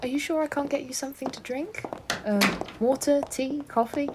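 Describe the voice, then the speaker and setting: A woman's voice: high-pitched, fairly even intonation, normal speed, heavy background noise, close to an everyday voice. A woman playing a chatty, eager-to-please schoolteacher in a naturalistic audio drama, apologetic and a little indiscreet. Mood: hospitable, flustered. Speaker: actor in an audio play.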